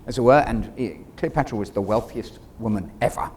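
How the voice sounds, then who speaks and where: A man's voice: lowish voice, brisk, a little mellow. A man speaking as an actor guest in a conversational stage discussion, thinking aloud with asides. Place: on a theater stage. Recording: clear background noise, stage ambience.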